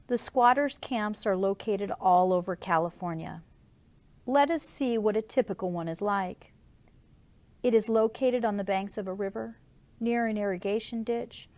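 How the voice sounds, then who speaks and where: A woman reading scripted nonfiction aloud like an audiobook, with measured, deliberate phrasing. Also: fairly high pitch. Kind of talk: audiobook-style scripted narration.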